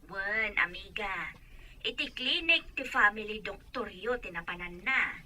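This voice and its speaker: An actress playing a nagging, outspoken matron in a radio drama. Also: female voice, mid-range voice, animated, unhurried, sharp tone. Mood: gossipy, advising.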